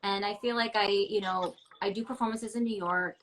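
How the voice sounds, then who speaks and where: A woman's voice: medium pitch, fairly even intonation, normal speed, a bit bright, a little hiss or hum, poor audio. Candid woman, emphatic and chatty. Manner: candid and emphatic, many fillers like "like" and "um". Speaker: interview guest, ballet dancer. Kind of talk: casual interview over a video call. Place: home, ground floor apartment.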